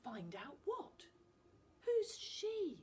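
A woman reading a story aloud, voicing a young boy who pleads in an excited rush. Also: very high-pitched, drawn-out words, voice pushed up, full character voice.

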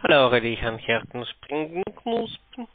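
A man with a foreign accent, not a native German speaker, haltingly reading out a phone sales pitch in broken German and stumbling over his words. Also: big pitch swings. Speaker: telephone sales agent. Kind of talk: scripted cold-call sales pitch, read out haltingly. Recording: narrow, tinny phone line.

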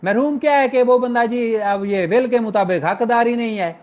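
A man with a Pakistani accent giving an informal, explanatory lecture as a law teacher. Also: very high-pitched. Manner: explanatory, switches between Urdu and slowly read English. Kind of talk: informal lecture, reading out and explaining legal articles. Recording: dull lo-fi recording.

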